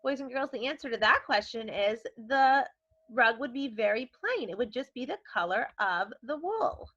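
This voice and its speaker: A woman speaks as an elementary teacher, posing questions and explaining things to children. Her voice is bright and fairly high, with lots of melodic movement. The sound is slightly dull and lo-fi. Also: expressive, moderate pace. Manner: explanatory, addressing young children directly. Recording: dull lo-fi recording.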